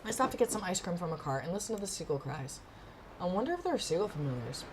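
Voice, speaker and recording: A woman's voice: fairly low pitch, big pitch swings, fast, noisy background. A woman streaming a visual novel, reading narration expressively and breaking off into playful, gushing, casual reactions.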